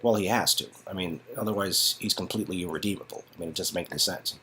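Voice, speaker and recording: A man with a husky voice narrating a story game and improvising dry, halting, sarcastic asides. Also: deep voice, sharp tone, slight background noise. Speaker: narrator and reader.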